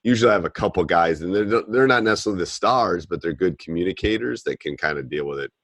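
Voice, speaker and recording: A man with a very low, deep voice talks basketball coaching in a loose, rambling way, like he's chatting on a call. He sounds middle-aged and plain-spoken. The sound is dry and close, with no room around it. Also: quick pace, fairly bright tone, no echo. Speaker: basketball coach and podcast host.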